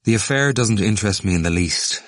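A man's voice: on the low side, animated, fairly quick, dry recording. A man voicing a wary young male student who speaks in a guarded, polite way.

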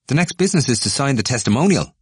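A man voicing a blunt, forceful young male student who argues briskly. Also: mid-range voice, lively intonation, breathy edge, no echo, clean studio sound, voice pushed up. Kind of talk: audiobook character voice.

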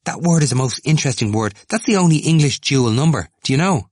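A man voicing an excitable, boastful young male student in an audiobook, eager and insistent, easily wounded. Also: mid-range voice, brisk, dry recording, clean studio sound, pitched above the performer's own voice. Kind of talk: audiobook character voice.

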